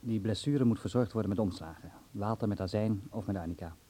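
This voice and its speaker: An actor playing a middle-aged family doctor in a radio drama, concerned and paternal, steady and firmly admonishing. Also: male voice, fairly low pitch, a little fast, close to an everyday voice. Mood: firm, persuading.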